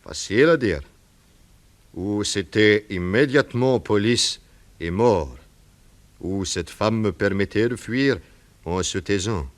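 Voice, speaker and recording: An actor playing a desperate, lovesick Russian émigré and confessed murderer, with a Russian accent, in theatrical 1960s French radio drama style. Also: male voice, on the low side, takes it slowly, long pauses. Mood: anguished confession.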